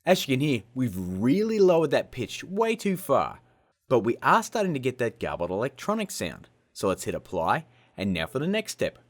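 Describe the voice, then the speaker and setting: Cheeky man hosting a tutorial, very animated. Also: medium pitch, moderate pace. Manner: cheeky, self-mocking, jokey asides. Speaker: host of a video-editing tutorial show. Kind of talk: casual scripted software tutorial narration. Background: music.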